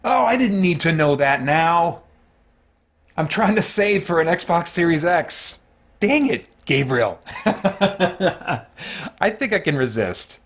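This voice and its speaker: Higher-voiced man thinking aloud over a board game. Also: big pitch swings, slow pace, a bit bright. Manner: thinks aloud, talking through each move. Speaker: host of a solo tabletop gaming stream. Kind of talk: casual running commentary while playing a board game.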